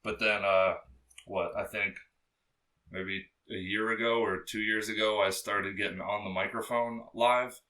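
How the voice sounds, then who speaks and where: A young man with a low, bassy voice, chatting as a podcast guest in a loose, rambling way, full of fillers and swearing. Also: fairly even intonation, unhurried, sharp tone, far from the mic. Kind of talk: casual interview chat.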